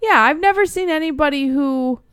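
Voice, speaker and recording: A woman's voice: high-pitched, brisk, fairly bright tone, dry recording, clean studio sound. A woman hosting a call-in show in casual, candid chat, speaking in a blunt, earnest, sweary way.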